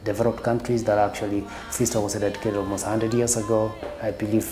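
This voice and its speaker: A man speaking as a doctor, giving measured explanations of patient care. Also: on the low side, level tone. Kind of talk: interview commentary. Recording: echoey room with music behind.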